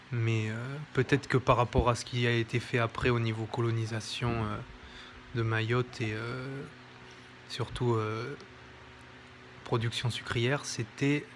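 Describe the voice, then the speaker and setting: A man's voice: medium pitch, a bit bright, slight background noise. A man presenting a history book in an informal, hesitant commentary, with many fillers and self-corrections. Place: home near an airport.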